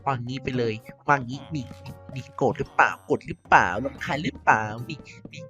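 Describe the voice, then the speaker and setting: A woman's voice: extremely low pitch, brisk, a bit bright, faint music behind. A woman voicing a mischievous ancient vampire queen in a Minecraft cartoon, playfully scheming and muttering to herself.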